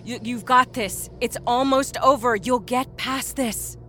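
A woman's voice: fairly high pitch, lively intonation, moderate pace, a bit bright, slight background noise, voice pushed up. A woman narrator voicing a harried, short-tempered nurse under strain, urgent and prone to stammering, in an audiobook. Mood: encouraging. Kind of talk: dramatic audiobook character acting.

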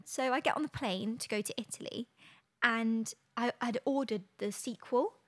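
A woman's voice: on the high side, moderate pace, fairly bright tone. Chatty young English woman hosting a podcast. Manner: chatty storyteller, laughs easily. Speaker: podcast host. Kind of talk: casual chat.

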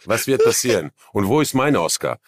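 A man with a slight Viennese accent, an actor guest in a casual interview, talking haltingly and searching for words, often self-deprecating. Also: mid-range voice, very animated, no echo, studio quality.

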